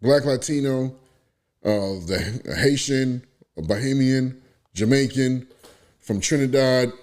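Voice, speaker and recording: A man's voice: mid-range voice, slow. A man from the Bronx, hosting a casual podcast chat and telling stories with lots of animation. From the Bronx, New York. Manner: animated storytelling, acts out quoted voices. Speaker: podcast host.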